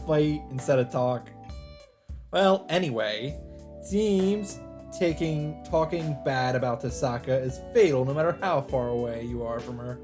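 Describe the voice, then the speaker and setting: A man reads a visual novel aloud for a let's play. His voice sits at an average male pitch, with game music playing softly behind it. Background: game background music.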